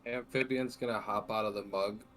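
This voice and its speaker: A man's voice: on the low side, fairly even intonation, normal speed, fairly bright tone, soft background music, rough recording. A man playing a tabletop role-playing game, casually narrating and chatting about what his character does.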